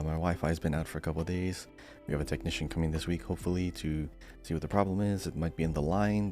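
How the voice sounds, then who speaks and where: Low, mellow male podcast co-host. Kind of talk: casual chat.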